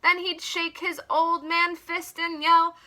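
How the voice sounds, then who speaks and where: Bright, high-voiced woman reading to children. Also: slow. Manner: expressive, simple explanatory asides to children. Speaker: children's teacher and story reader. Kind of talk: read-aloud story time for young children.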